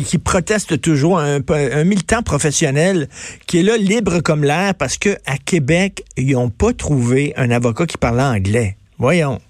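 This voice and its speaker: A man's voice: fairly high pitch, no echo, clean studio sound. A man with a Quebec French accent hosting a radio talk show, prompting his co-host with news items. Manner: conversational, prompting.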